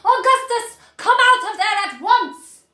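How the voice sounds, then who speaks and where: A shrill, bossy storybook mother, played by a woman reading aloud, shrieks and scolds in an extremely high, piercing voice. She sounds panicky and commanding in an echoey room. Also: lively intonation, a little slow, bright, forceful tone, heavily acted. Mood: frantic, commanding. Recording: roomy indoor echo.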